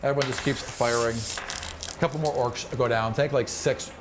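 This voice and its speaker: A man improvising colloquial, animated narration as game master of a tabletop fantasy game. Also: medium pitch, normal speed. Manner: animated, graphic, colloquial storytelling. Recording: noisy room recording. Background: clearly audible background noise.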